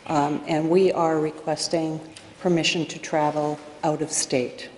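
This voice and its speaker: A woman's voice: low-pitched, little pitch movement, unhurried, a little mellow. A middle-aged woman addressing a public meeting as a committee secretary making a request. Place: large indoor meeting room, at podium. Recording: very echoey meeting hall, faint noise.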